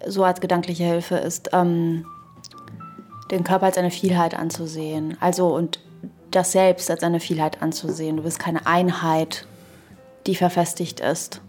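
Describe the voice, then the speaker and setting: Lower-pitched woman explaining tentatively over music. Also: little pitch movement, a little mellow. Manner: explanatory, tentative, pausing to find words. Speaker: podcast co-host. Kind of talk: reflective podcast discussion.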